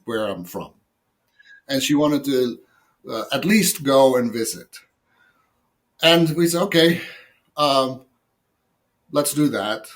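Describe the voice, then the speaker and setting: An older man from the Netherlands with a Dutch accent, a guest telling long rambling stories about his past jobs with lots of fillers and self-mocking asides. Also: medium pitch. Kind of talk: casual interview storytelling.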